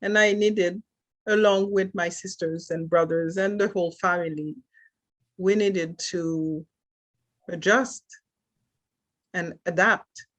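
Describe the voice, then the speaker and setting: A middle-aged woman from Haiti whose first language is French, telling her life story as a podcast guest in a thoughtful way, with soft laughs between thoughts. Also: fairly low pitch, steady tone, slow, long pauses. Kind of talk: reflective personal storytelling.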